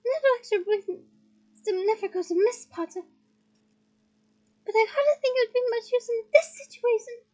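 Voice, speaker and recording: A woman doing a tiny old wizard professor with a shrill, squeaky voice, pitched very high and loud. He chirps in a storybook reading. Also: moderate pace, pauses often, highs cut off. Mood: excited.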